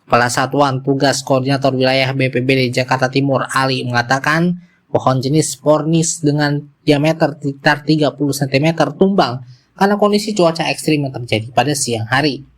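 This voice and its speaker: A man's voice: mid-range voice, steady tone, a little fast. A man with an Indonesian accent reading scripted news as a presenter, moving through formal bulletin copy.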